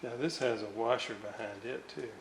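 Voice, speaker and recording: A man giving an unscripted hands-on demonstration at a workbench, halting and muttering to himself as he works. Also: fairly low pitch. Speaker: radio restorer. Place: indoor room, at a workbench. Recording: roomy, noisy home recording.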